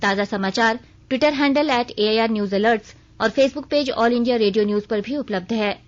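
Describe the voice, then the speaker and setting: A woman reads a formal radio news bulletin in a fairly high voice. The sound is slightly dull and band-limited, and the delivery is scripted throughout. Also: a little slow. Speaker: news reader.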